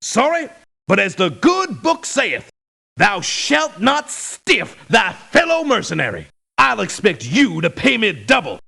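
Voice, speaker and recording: Video game preacher-for-hire: sanctimonious, ruthless, raspy, wildly swooping delivery, slow and deliberate. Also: male voice, high voice, huge pitch swings, pushed and bright, exaggerated voice. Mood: indignant, righteous. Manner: sermonizing, pompous, formal biblical phrasing. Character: pious, pompous preacher turned mercenary, ruthless beneath the scripture. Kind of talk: theatrical video game voice clips. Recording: clipped lo-fi game voice samples.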